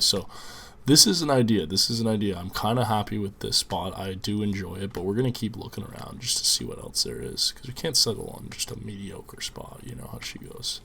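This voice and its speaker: A relaxed young man with a fairly low voice talks his way through a game session, thinking out loud and calling his viewers guys. His voice is slightly stuffy from a cold. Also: vocal fry, slight background noise. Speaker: gaming video host. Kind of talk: casual game commentary.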